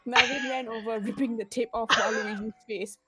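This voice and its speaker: A woman's voice: a little high, steady tone, normal speed, a crowd in the background. A woman streamer giving a giggly, dramatic reading of a story among friends. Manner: giggly, theatrical. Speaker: streamer reading fan fiction aloud. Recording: live group stream with music behind. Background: music and friends laughing.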